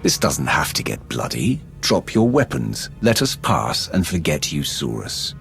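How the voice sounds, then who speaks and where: A man's voice: very deep voice, wildly swooping pitch, unhurried, gravelly, a bit bright, faint music behind, heavily acted. An actor playing a curt, commanding male Sith warrior in a game cutscene. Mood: stern.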